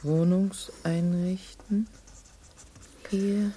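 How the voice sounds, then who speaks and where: An actress playing a subdued woman voicing her letter haltingly, phrase by phrase, in a radio drama. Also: fairly low pitch, fairly even intonation, dark tone, slight background noise. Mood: subdued, deliberate. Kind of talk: German radio drama acting.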